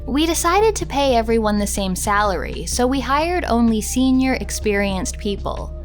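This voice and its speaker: Female quote reader for a documentary, slightly high voice, scripted delivery, music underneath. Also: animated, moderate pace.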